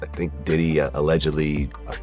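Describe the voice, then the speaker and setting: A man's voice: rumbling low, little pitch movement, fast, darkish tone. A man speaking as an interviewer in a conversational style. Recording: music laid under interview clip.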